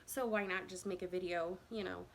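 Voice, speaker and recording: A woman's voice: on the low side, steady tone, a little fast, creaky, a little hiss or hum. Chatty rambling woman in her thirties. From Indiana, USA. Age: 30s. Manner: rambling and chatty, with many fillers and self-conscious laughs. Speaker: vlogger telling her own story. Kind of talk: casual storytime chat to camera. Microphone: phone camera.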